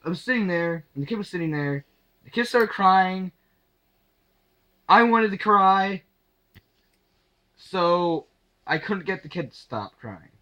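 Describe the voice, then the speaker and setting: A young man telling a casual anecdote to camera in a halting, sweary way, acting out the dialogue as he goes. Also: high-pitched, expressive, sharp tone. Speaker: storyteller.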